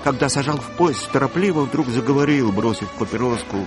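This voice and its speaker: A man reading scripted literary narration for a radio drama, measured and colloquial in his storytelling. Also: mid-range voice. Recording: music bed behind the voice.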